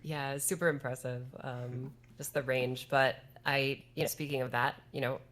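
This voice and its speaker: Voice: mid-range voice, little pitch movement, moderate pace, a bit bright. A stream host asking questions in a casual, friendly Q&A. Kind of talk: casual Q&A hosting.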